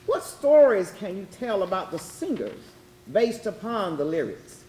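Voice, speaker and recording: A woman reading scripted educational narration in a measured, deliberate way. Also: medium pitch, big pitch swings, mellow. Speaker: readers theatre narrator. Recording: echoey room with faint noise.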